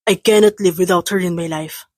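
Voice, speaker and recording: A woman playing an intense, possessive man in a Tagalog audio drama, with a husky voice. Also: fairly low pitch, steady tone, normal speed, a little mellow, dry recording, studio quality, natural voice. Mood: earnest, lovestruck. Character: intense, possessive young man, threatening and lovestruck.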